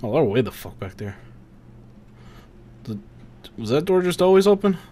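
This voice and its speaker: A man's voice: a little high, big pitch swings, normal speed, dark tone. A young man giving casual gameplay commentary, muttering to himself and swearing freely. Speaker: gamer recording a playthrough.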